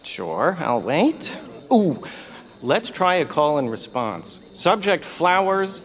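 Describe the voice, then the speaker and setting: A man's voice: a little high, big pitch swings, slow pace, fairly bright tone, heavily acted. An actor playing a fussy, washed-up male bird who once voiced a radio hero, talking in a rambling, flustered, theatrical way. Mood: awkward. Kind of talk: audio drama acting.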